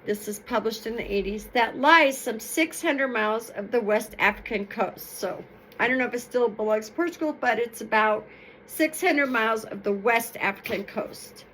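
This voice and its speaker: A woman reading stories aloud in an expressive, emphatic, explanatory way. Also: fairly high pitch, moderate pace, slight background noise, low-quality recording. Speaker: storyteller reading folktales aloud. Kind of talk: expressive read-aloud storytelling with informal explanatory asides.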